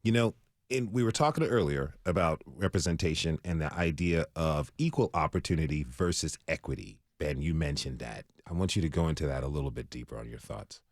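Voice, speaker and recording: Deep-voiced man hosting a radio talk show. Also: a little fast, no room sound, clean studio sound.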